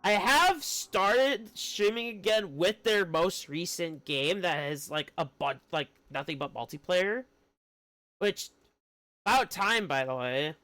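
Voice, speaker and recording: A man chatting casually on a game livestream, rambling and halting as he talks. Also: high voice, lively intonation, bright, forceful tone, no echo. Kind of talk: casual chat while streaming a game. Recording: game music behind the voice. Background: video game music.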